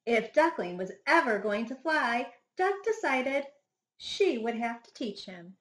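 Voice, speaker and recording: Expressive, high-voiced woman reading a storybook. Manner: dramatic, deliberate phrasing for young children. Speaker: first grade teacher reading a picture book. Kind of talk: expressive children's storybook read-aloud. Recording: echoey room sound.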